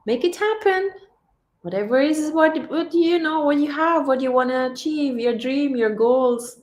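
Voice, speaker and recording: A woman chatting casually on a livestream, reading her chat and urging viewers to chase their dreams, animated and repeating herself often. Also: high voice, unhurried, echoey room. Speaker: vlogger reading live chat.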